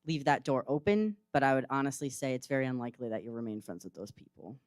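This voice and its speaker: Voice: medium pitch, fast talker, no room sound, clean studio sound. A panelist giving blunt, matter-of-fact advice. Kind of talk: panel answers.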